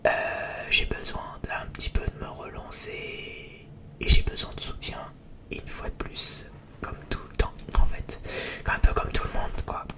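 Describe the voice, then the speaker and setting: A person whispers a breathy, hesitant, rambling ASMR chat to viewers as a video creator. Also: unhurried, bright, forceful tone.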